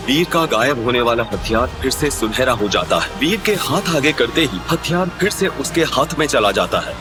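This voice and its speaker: A male narrator tells a scripted adventure tale in a dramatic style. His voice sits at a middling pitch, with prominent music playing underneath. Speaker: story narrator. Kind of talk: dramatic scripted audio story narration in Hindi. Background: background music.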